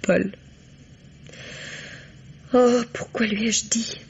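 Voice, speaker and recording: An actress playing a sorrowful but composed young woman under police questioning in a radio mystery, recalling things in a measured, wistful way. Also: on the high side, steady tone, vocal fry, husky, full character voice. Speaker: actress in a radio crime drama. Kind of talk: French radio mystery acting. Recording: muffled old radio recording.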